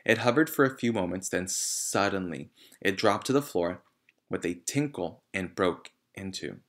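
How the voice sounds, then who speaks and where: A man's voice: low voice, expressive, normal speed, fairly bright tone. A man reading a children's novel aloud as narrator. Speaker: reader.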